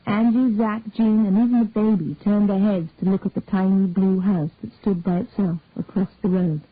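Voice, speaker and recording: A woman narrating a detective story in a measured, even storytelling manner. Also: mid-range voice, dry recording. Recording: tinny dry phone-like sound.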